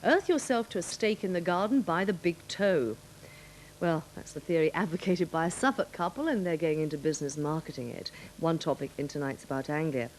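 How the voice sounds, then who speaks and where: A woman's voice: low voice, brisk, slight background noise, rough recording. A British woman presenting scripted headlines on a regional television news magazine. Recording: 1980s broadcast with music behind.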